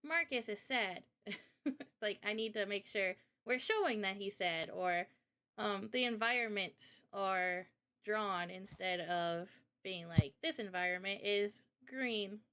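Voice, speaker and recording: A woman's voice: mid-range voice, takes it slowly, bright, forceful tone, smooth. A woman talking casually and informally in a livestream Q&A, explaining a project as its developer in slangy everyday language. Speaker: game developer presenting a visual novel project.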